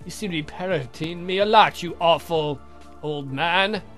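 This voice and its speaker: A man voicing a sardonic, theatrical vampire count for a visual novel game, mocking and campy in a drawn-out way. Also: medium pitch, fairly bright tone, soft background music, close to an everyday voice. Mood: gloating, mocking.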